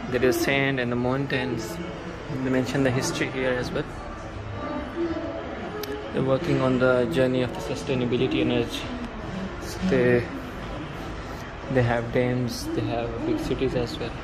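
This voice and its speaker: Man vlogging with halting, uh-filled talk. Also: mid-range voice, completely flat delivery, loud music behind the voice, poor audio. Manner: halting, many uh fillers, non-native phrasing. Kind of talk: casual walk-through vlog. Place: exhibition pavilion, indoors.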